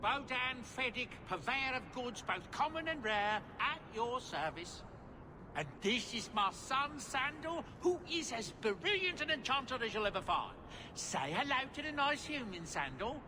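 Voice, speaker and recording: A man's voice: very animated, measured pace, exaggerated voice. A man voicing a jolly, courteous dwarf merchant in a video game, with flowery, formal, genial speech. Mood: proud, cheerful. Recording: reverberant space, rumbling ambient noise.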